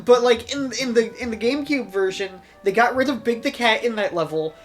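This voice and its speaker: A podcast co-host with a mid-pitched voice talks casually about games. The delivery is halting and explanatory, with a nerdy bent. Soft instrumental guitar music plays behind. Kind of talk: casual gaming podcast chat. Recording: music playing under the voice.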